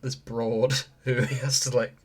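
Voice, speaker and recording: A British man with a medium-pitched voice chats as a podcast co-host. He riffs jokily, swears freely and drifts off into tangents in a casual, conversational way. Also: flat delivery, unhurried. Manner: sweary, jokey, given to tangents. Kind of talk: casual comic banter.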